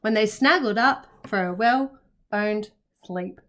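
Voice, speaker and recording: A woman narrating a picture book for young children, with expressive, carefully phrased storytelling. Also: mid-range voice, slow pace.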